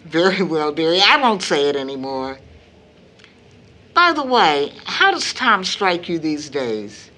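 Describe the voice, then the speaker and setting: A woman playing a weary, loving mother of sixty in an audio drama, speaking gently and reflectively. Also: low voice, very animated, measured pace, full character voice. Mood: wistful, worried.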